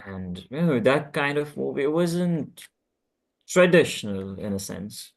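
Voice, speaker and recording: A man chatting casually about films in a group, halting and often repeating his words. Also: mid-range voice, expressive, gravelly. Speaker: table read participant.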